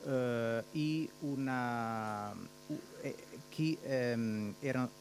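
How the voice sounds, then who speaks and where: An Italian man presenting research at a conference in a second language. He speaks haltingly, often searching for words, and slips Portuguese words in. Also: medium pitch, slight background noise. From Italy.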